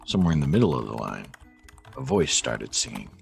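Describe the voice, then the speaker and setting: A man's voice: very deep voice, sing-song, a little fast, gravelly, soft background music, exaggerated voice. A man playing the narrator of a Christmas audio drama, reading scripted story narration. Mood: measured storytelling.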